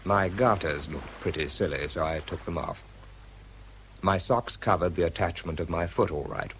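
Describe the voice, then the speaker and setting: A bomb disposal man in a radio drama, telling his own story in a low voice. He is understated and matter-of-fact, with nerves underneath. A little noise sits on the recording. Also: normal speed, fairly bright tone. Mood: reflective, tense. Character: weary, nervous bomb disposal man with an artificial foot. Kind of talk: radio drama, first-person narration and dialogue.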